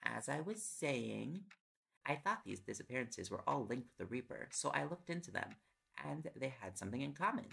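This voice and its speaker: A man's voice: medium pitch, very animated, moderate pace, vocal fry, sharp tone, slight background noise, heavily acted. A man playing a tired, affectionate young reporter boyfriend in an intimate audio roleplay, playful and coaxing in manner. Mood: absorbed, musing. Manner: playful, coaxing, rambling when on a topic. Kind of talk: intimate audio roleplay, sleep-aid acting.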